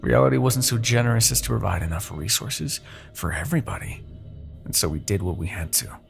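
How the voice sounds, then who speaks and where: Man acting as a gravelly, seductive demon king. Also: animated, faint music behind. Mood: bitter, persuasive. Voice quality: gravelly, creaky low voice. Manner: theatrical, silky, condescending persuasion with dramatic pauses. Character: seductive, manipulative male demon king, haughty and persuasive. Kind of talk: fantasy ASMR roleplay monologue.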